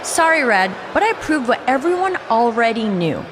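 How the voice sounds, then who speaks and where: A woman's voice: a little high, sing-song, normal speed, background noise. A woman voicing a cocky, mocking female wrestler villain in a video game, taunting and boastful. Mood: smug, gloating. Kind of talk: video game cutscene acting, trash talk.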